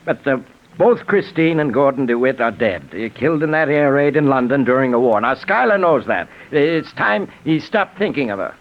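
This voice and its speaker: An actor in a 1940s radio drama playing a blunt, exasperated middle-aged lawyer, insistent and indignant. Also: male voice, medium pitch, lively intonation, moderate pace, close to an everyday voice. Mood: blunt, incredulous. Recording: muffled 1940s radio recording.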